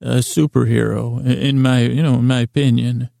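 A man hosting a bedtime podcast in a rambling monologue, halting and often interrupting himself as he wanders off on tangents. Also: mid-range voice, flat delivery, gravelly, dark tone, no echo, clean studio sound. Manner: halting, digressive, mumbly self-interruptions. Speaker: podcast host.

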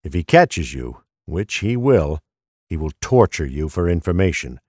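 Man acting a deep-voiced, grave group leader. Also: very animated, unhurried, darkish tone, dry recording, clean studio sound, full character voice. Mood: grave warning. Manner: firm, urgent, instructing. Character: grave, commanding man leading a fleeing group. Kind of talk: crime audio drama acting.

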